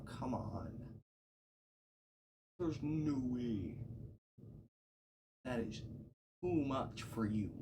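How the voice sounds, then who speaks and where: A man chatting casually on a livestream, muttering to himself in a self-deprecating, sweary, grumbling way as he is recorded through a phone microphone. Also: a little high. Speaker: live streamer. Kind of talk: casual livestream chat, muttering to himself during audio setup. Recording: noisy phone microphone with background ambience. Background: clear background noise.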